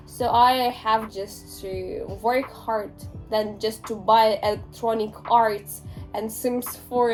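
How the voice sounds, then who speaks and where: An eighteen-year-old Ukrainian woman with a Ukrainian accent, answering interview questions in a talkative, animated way, often restarting her sentences. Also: on the high side, poor audio. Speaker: interviewee, English teacher.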